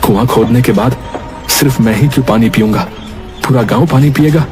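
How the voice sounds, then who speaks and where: A man's voice: mid-range voice, fairly quick, lightly acted. A man voicing a poor, devoted and determined village husband in a cartoon story, with an earnest, melodramatic delivery over music. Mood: earnest, firm. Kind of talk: acted dialogue in a Hindi cartoon moral story. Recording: music behind the voice.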